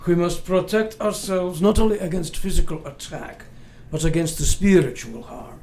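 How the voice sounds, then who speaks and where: A man's voice: high-pitched, normal speed, a little mellow, slight background noise, natural voice. A man acting a grave, protective older vampire-hunting scholar in a radio play, speaking in formal, old-fashioned phrasing with foreign-sounding grammar. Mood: solemn.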